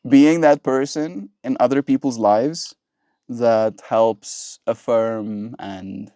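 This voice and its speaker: A man's voice: mid-range voice, fairly even intonation, slow pace, warm tone, no echo. Man, twenties, native Afrikaans speaker, from southern Africa, casual streamer, playful, rambling, laughs a lot, dry close sound. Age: 20s. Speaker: livestreamer. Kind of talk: casual chat with a stream audience.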